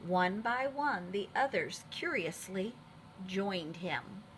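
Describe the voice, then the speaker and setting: Female preschool teacher for picture-book reading: slowish, lively intonation, expressive, addressing small children. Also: mid-range voice, very noisy. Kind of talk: children's storybook narration.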